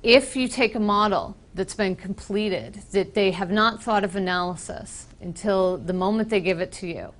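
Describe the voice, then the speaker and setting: A woman from Boston with an American accent, giving a casual, explanatory software presentation with frequent ums. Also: mid-range voice, normal speed, few pauses. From Boston, United States. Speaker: software trainer. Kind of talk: conference presentation.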